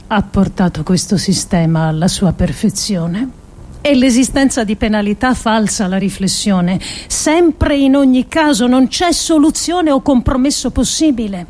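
A woman performing a scripted dramatic monologue for radio in a deliberate, measured way with pointed pauses. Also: on the high side, lively intonation, darkish tone. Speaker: actress reading an adapted essay.

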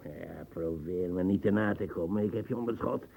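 A man's voice: low voice, moderate pace, creaky, warm tone, breathy edge, full character voice. An actor playing a paranoid, agitated old man in a radio play, stammering and muttering into whispers. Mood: defiant, threatening. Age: older. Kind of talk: radio drama acting.

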